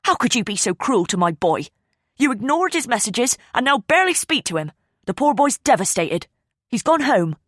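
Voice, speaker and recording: A woman's voice: mid-range voice, sing-song, brisk, no echo, clean studio sound, pitched above the performer's own voice. A woman voicing an indignant middle-aged mother scolding a young woman.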